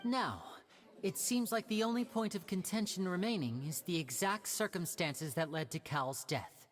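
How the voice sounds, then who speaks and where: A woman playing a composed female judge in a video game, speaking formally and deliberately. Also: on the low side, soft background music, close to an everyday voice.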